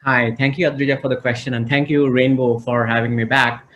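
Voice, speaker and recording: A man speaking as a panelist on a video call, courteous, measured and articulate. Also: mid-range voice, level tone, fairly bright tone, muffled recording, a little hiss or hum.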